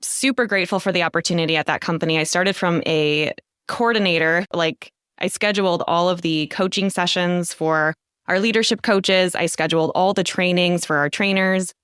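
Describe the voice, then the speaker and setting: A woman's voice: lowish voice, normal speed, fairly bright tone, clean tone, dry recording, studio quality. A woman in her thirties, a guest leadership coach telling her career story in a chatty podcast interview, laughing now and then. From United States. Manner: chatty, laughs often, says "so" a lot.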